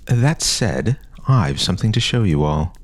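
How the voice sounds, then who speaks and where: A man's voice: low voice, sing-song, unhurried, no echo, voice pushed up, exaggerated voice. Man voicing a pompous, bossy boy ringleader. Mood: secretive, boastful. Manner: pompous, formal, insistent. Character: bossy, grandiose older boy pushing oaths on friends.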